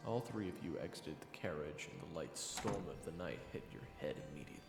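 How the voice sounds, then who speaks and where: Very deep, gravelly male narrator with reverb. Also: lively intonation, fairly quick. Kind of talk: second-person scripted fantasy story narration. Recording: echoey, reverberant, music underneath.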